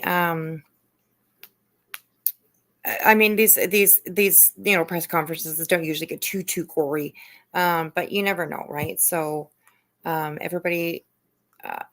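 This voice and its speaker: A woman hosting a livestream in casual chat, speaking in a hesitant, halting way. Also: lowish voice, fairly bright tone. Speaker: livestream host.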